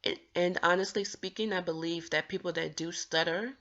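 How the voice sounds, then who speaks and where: A woman speaks slowly and earnestly to her viewers, her voice gravelly yet bright. She stumbles and restarts on a word now and then but keeps an encouraging tone. The recording sounds dull and lo-fi. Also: lowish voice, fairly even intonation. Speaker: vlogger who stutters. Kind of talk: personal talk to camera.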